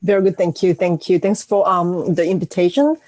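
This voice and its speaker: A woman speaking conversationally as a panel guest, in a hesitant, halting manner. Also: fairly low pitch, fairly even intonation, darkish tone, no room sound. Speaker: panel guest, analytics executive.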